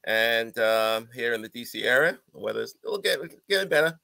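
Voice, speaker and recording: A middle-aged man with a slightly sore throat, teaching informally to camera in a conversational, halting way, repeating phrases as he goes. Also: mid-range voice, lively intonation, creaky, pushed and bright, dry recording. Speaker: host, real estate investing coach. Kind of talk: informal online lesson to camera.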